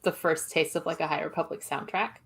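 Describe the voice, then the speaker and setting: A woman's voice: sits low, steady tone, a little fast, vocal fry, fairly bright tone, breathy edge. A woman speaking as a guest on a podcast, chatting casually as she reviews something.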